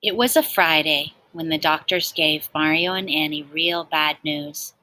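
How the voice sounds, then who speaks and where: A woman reading a children's storybook aloud in an expressive, animated way, like a teacher talking to young listeners. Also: low voice, a little slow, low-quality recording.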